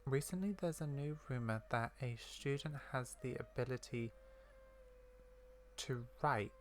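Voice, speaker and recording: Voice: medium pitch, measured pace, slight background noise. A gaming streamer giving casual commentary, reading game text aloud and musing to themselves. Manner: reacts aloud to the game, muses to self.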